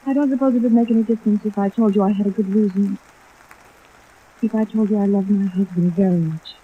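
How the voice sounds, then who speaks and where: An actress playing an anxious, guilty wife in 1950s radio drama, pleading and defensive. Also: female voice, medium pitch, steady tone, fast, slightly rough, lightly acted. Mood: defensive, anguished. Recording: old tinny radio recording, faint rain hiss.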